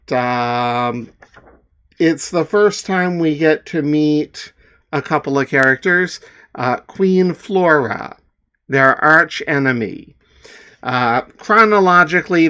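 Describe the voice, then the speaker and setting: A man's voice: medium pitch, a bit bright, clean tone. A man casually introducing his own comic book before reading it aloud, joking with many fillers. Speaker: comics author and reader.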